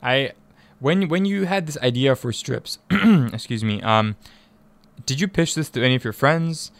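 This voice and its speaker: A man's voice: mid-range voice, animated, unhurried, creaky, dry recording. A man from East Africa hosting a conversational radio interview, effusive and given to repeating himself for emphasis. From East Africa (Tanzania and Kenya). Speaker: radio show host and interviewer. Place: radio studio.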